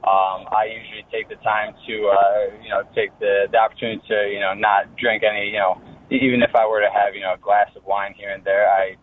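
A man's voice: fairly low pitch, little pitch movement, a little hiss or hum. Hesitant male caller on a phone line. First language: Greek. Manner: halting, many fillers and restarts. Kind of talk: phone-in call.

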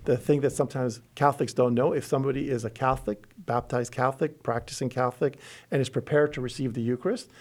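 A middle-aged man from Lebanon, a bishop, explaining church teaching in an interview with earnest, halting restarts. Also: mid-range voice, fairly even intonation.

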